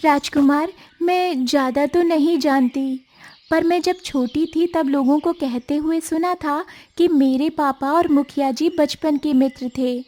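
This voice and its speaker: High female voice, actress as gentle village girl, apologetic, polite, earnest, cartoon story acting. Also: a little slow. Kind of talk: Hindi animated moral-story acting.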